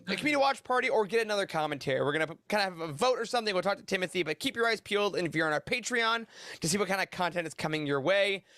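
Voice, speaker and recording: Male podcast host, high voice, chatty upbeat delivery, dry close sound, casual news chat. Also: bright, forceful tone, dry recording.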